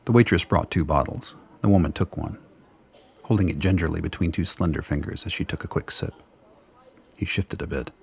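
Deep, gravelly male narrator. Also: brisk. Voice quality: gravelly, husky. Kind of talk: dramatic scripted storytelling.

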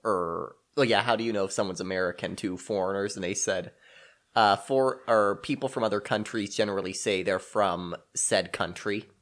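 A man's voice: on the low side, normal speed, fairly bright tone. A man co-hosting a podcast in casual chat, chatty and joking, often laughing. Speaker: podcast co-host.

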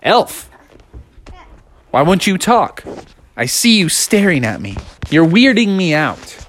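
A woman voicing an excitable little boy frog who talks in an earnest, insistent way. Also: lowish voice, takes it slowly, voice pushed up.

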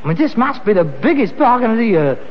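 An actor playing a boastful, exasperated son who deals in rag and bone, emphatic and cajoling, with a working-class London accent in a British sitcom. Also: male voice, sits high, sing-song, quick pace. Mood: cajoling salesman. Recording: muffled, reverberant, hissy vintage sitcom recording.

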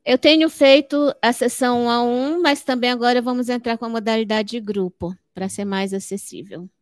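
A woman's voice: fairly high pitch, steady tone, normal speed, dry recording, studio quality. An older woman from Fortaleza, answering interview questions in an explanatory way, repeating phrases for emphasis. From Fortaleza, Ceará, northeastern Brazil. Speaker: podcast guest, psychologist.

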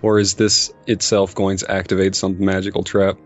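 A man playing a tabletop game, talking in a measured, inquisitive manner. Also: low voice, monotone. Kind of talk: deliberate in-game questions and chat. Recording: muffled audio, music bed. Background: music.

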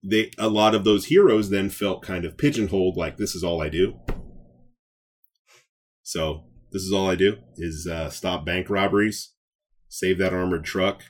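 A man's voice: low-pitched, normal speed. A man speaking as a podcast co-host in a casual review chat, conversational and blunt about his opinions.

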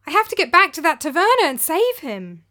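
Woman reader voicing an anxious young man in a storybook, urgent and earnest, pitched a bit high. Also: big pitch swings, moderate pace, fairly bright tone.

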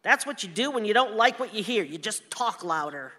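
An actress in a radio play voicing a fed-up, outspoken American wife who speaks in an emphatic, pleading way. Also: female voice, medium pitch, expressive, fairly quick, sharp tone. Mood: exasperated. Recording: long hall reverb.

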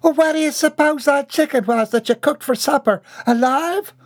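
High, shrill servant woman put on by a reader, slow wailing lines, dry close sound. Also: dry recording, natural voice. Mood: shrieking.

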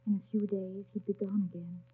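An actress playing an earnest, reserved young governess in a 1930s radio drama, speaking and narrating in a grave, polite, restrained manner. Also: female voice, medium pitch, flat delivery, a little fast, slight background noise, natural voice. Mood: hushed, tense. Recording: muffled, tinny 1930s radio broadcast.

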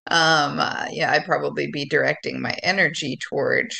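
A woman with a bright voice and plenty of vocal fry talks as a player in a tabletop game. She muses over her move in casual, unscripted speech. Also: low-pitched, steady tone, normal speed. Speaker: player in a tabletop role-playing show. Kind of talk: casual game chat, thinking aloud.

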